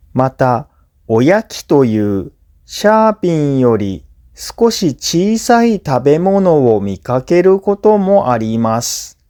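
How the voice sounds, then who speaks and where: A man who is a native Japanese speaker, reading scripted listening practice for learners in a clear, simple explanatory way. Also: a little high, dark tone. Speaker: language teacher and narrator.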